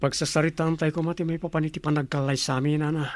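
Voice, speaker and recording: Man acting earnest Filipino son, higher-pitched voice. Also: flat delivery, fast, no room sound, close to an everyday voice. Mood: respectful, earnest. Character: dutiful, earnest grown son and suitor, middle-class worker. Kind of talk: Filipino comedy radio drama acting.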